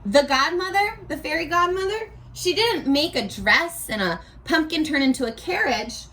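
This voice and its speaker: A high-voiced woman reads a storybook to young children as their teacher. Her delivery is unhurried and very animated, with sing-song phrasing and questions that invite the listeners to answer. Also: rough recording.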